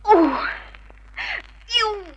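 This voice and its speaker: A feisty young moll in a vintage radio thriller. Her very high voice swoops widely, shrill and indignant, drawn out over a noisy old recording. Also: animated, extremely slow, exaggerated voice. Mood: panicked, indignant. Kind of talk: old radio crime drama melodrama.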